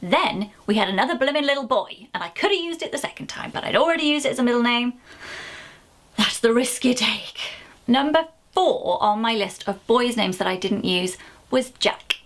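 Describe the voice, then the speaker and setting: A woman in her thirties from Wales, chatting casually to camera as a parenting vlogger, animated and jumping into a high voice for little asides. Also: moderate pace.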